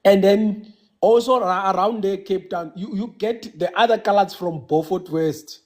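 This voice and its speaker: A South African man whose first language is Xhosa, calling in to a casual live chat and talking haltingly, with many restarts and fillers. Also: high-pitched, darkish tone. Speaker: caller.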